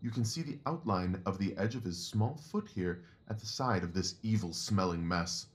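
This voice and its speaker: A man's voice: low-pitched, normal speed, close to an everyday voice. A man playing a confident, analytical Victorian detective in an audio drama, assured, precise and didactic in his talk. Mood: elated.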